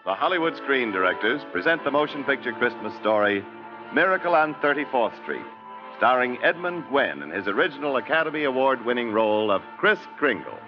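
A man's voice: mid-range voice, sing-song, brisk. A man making declamatory announcements in a 1940s radio style. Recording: muffled vintage radio, loud music. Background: loud music.

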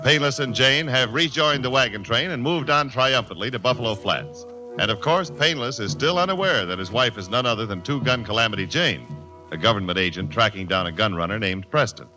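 A man's voice: medium pitch, lively intonation, a little fast, over music. An American man announcing and narrating a 1950 radio show in a polished, declamatory style. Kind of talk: 1950 radio scripted narration and sponsor pitch.

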